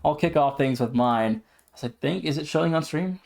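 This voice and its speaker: Male sports podcast co-host, moderately high voice, casual commentary with emphatic, announcer-like phrasing. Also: normal speed, creaky, a little mellow.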